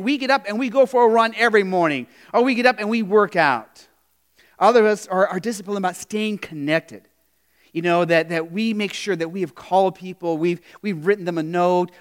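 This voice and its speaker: High-voiced man preaching conversationally. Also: fairly bright tone. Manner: conversational preaching with frequent "you know" and restarts; rhetorical questions to the congregation. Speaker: pastor. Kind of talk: sermon.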